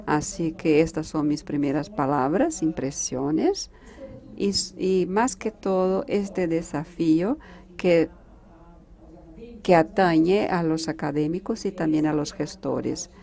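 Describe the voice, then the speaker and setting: Low, slow Brazilian woman diplomat. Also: gravelly, warm tone. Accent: Brazilian Portuguese. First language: Portuguese. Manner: measured, with hesitations and restarts. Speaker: diplomat panelist. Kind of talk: conference panel talk.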